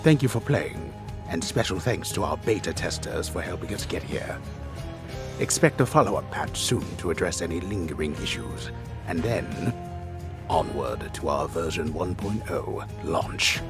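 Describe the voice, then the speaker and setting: A man playing a disembodied game storyteller, reading a script with grave, deliberate, theatrical delivery. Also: low-pitched, lively intonation, over music. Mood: solemn, grand. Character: disembodied storyteller, grave, measured, solemn male narrator. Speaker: storyteller narrator.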